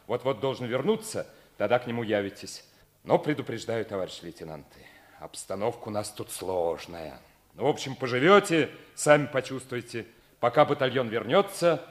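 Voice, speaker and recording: A man's voice: mid-range voice, close to an everyday voice. Male actor as hearty, avuncular regimental commander, mid-range voice, vintage Soviet radio play. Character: fatherly commanding lieutenant colonel, jovial Soviet regiment commander. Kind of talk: 1950s Soviet stage radio drama acting.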